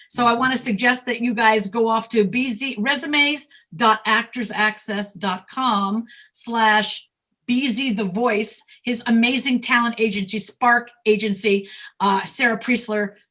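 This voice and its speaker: High, bright-voiced woman hosting an interview. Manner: effusive, complimentary. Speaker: host, talent manager. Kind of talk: enthusiastic conversational interview.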